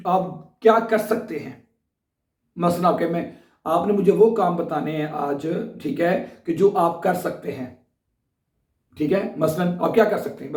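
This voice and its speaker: Hoarse teacher, echoey and set back. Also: male voice, a little high, a little mellow, distant mic. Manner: chatty, repeats "ठीक है?" to check in with viewers. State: sore throat. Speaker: German teacher. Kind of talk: casual online language lesson.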